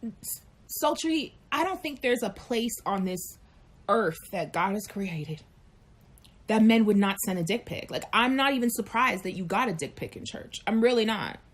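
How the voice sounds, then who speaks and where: A woman in her late thirties chatting frankly and animatedly on a live chat show, telling stories and acting out quick voices. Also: mid-range voice, animated. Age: 30s. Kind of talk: candid casual chat.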